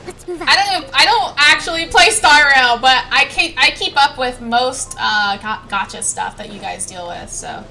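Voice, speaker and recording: A woman's voice: high-pitched, animated, normal speed, gravelly, pushed and bright, rough recording. A young woman chatting casually as she livestreams a video game, in an informal, conversational style. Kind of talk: casual livestream chat over gameplay. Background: background music.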